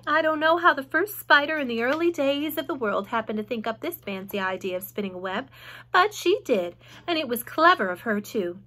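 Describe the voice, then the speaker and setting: A woman voicing a clever, bold female spider who is matter-of-fact and lively, with sudden high exclamations and hushed asides. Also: a little fast. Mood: reasoning.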